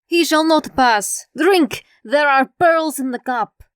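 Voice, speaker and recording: A woman plays a wistful, beguiling shadow in a verse play. The voice is high and lilting, theatrical, and recorded dry and close. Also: takes it slowly, no echo. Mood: seductive.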